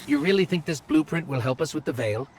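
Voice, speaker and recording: An actor voicing a small, eager floating robot companion, quick and wry in video game dialogue. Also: male voice, a little high, animated, slight background noise, lightly acted. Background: game score music.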